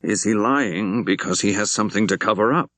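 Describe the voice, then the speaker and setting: A man voicing a troubled senior bishop in an audiobook, speaking in a formal, hesitant, deliberate way. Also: on the low side, fairly bright tone, no echo, lightly acted. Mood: troubled, uneasy.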